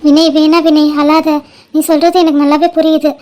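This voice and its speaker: A woman's voice: high voice, level tone, normal speed, darkish tone, natural voice. A woman playing a caring elder sister who speaks with a Tamil accent, anxious and comforting. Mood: worried. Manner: worried, comforting and scolding. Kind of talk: short-film drama acting.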